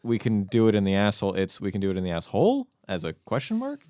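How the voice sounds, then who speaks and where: A man co-hosting a movie podcast, giving measured, critical opinions. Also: on the low side, wildly swooping pitch, mellow, no room sound. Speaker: podcast co-host. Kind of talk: casual movie discussion.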